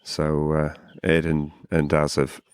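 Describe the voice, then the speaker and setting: A man with a very low, hoarse morning voice talks his way through a relaxed camping chat. He rambles and repeats himself in a laid-back way. The recording is close and dry. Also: slow pace, no room sound. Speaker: podcast co-host. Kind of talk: casual chat outdoors at a campsite.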